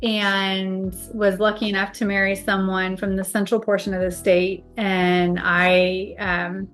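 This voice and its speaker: A woman's voice: medium pitch, level tone, unhurried, fairly bright tone, faint music behind. A middle-aged American woman from Kansas talking conversationally about herself as an interview guest. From Kansas, United States. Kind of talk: conversational personal introduction.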